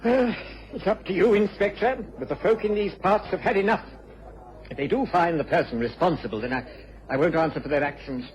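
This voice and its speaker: A man playing a self-important, agitated committee chairman in a radio drama, halting and pompously insistent. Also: high-pitched, close to an everyday voice. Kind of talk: BBC radio drama acting. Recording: muffled, slightly noisy old radio recording.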